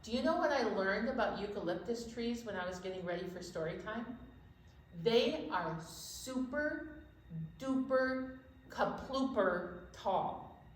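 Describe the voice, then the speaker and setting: A woman's voice: on the high side, lively intonation, a little slow. A woman co-hosting a children's storytime, chatting casually and playfully stretching words for emphasis. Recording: very echoey room with faint noise.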